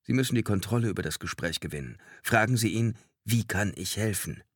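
A brisk, commanding male advisor played by an audiobook reader gives instructions in a low, rough voice. The delivery is authoritative, in a dry recording. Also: lively intonation, dry recording. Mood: urgent, directive. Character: brisk, commanding hostage advisor in an earpiece, man. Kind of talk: audiobook character acting.

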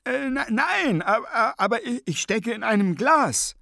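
High, stuttering zombie head. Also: male voice, sing-song, vocal fry, sharp tone, no room sound, clean studio sound, full character voice. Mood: exasperated. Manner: stuttering, halting and flustered. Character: stammering, exasperated severed zombie head of a man in a jar.

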